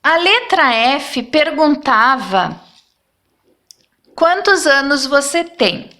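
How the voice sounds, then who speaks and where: Slow, high-voiced woman teaching math. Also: big pitch swings, bright, forceful tone. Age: 30s. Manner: patient, checks in with "tá?" after each step. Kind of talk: step-by-step math lesson.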